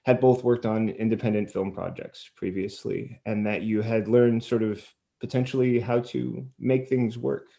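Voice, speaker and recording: A man's voice: medium pitch, steady tone, normal speed, vocal fry, few pauses, warm tone. A man hosting an interview, asking long, thoughtful, roundabout questions. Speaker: interviewer, podcast host.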